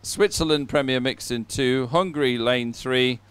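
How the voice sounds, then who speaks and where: A man's voice: mid-range voice, a little slow, clear, resonant tone, no echo. A man hosting live sports commentary in a chatty, jovial way, as if talking with a colleague. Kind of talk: live sports commentary, relaxed co-host chat.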